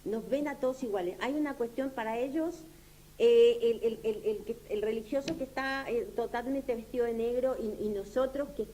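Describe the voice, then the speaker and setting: A woman's voice: mellow, poor audio. A woman lecturing informally, often repeating herself and checking that her listeners follow. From Latin America. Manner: halting, repetitive, checks that listeners understand. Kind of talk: informal lecture with audience questions. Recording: clear background noise, low hum.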